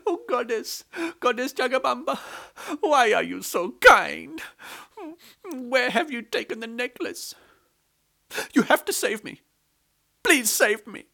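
Guy putting on a poor scared brahmin, high shaky wailing voice, pleading, pitch all over. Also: animated, slow, gravelly, pauses often, pushed and bright, full character voice. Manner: sobbing, pleading, high and quavering. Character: poor frightened Brahmin man wailing to a goddess.

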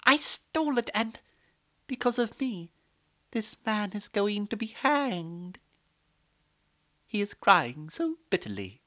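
A storyteller voicing a young palace maidservant who stole a necklace, speaking high and anxious. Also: male voice, sing-song, slow, long pauses, pushed and bright, full character voice. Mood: guilty. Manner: anxious, pleading, high-pitched.

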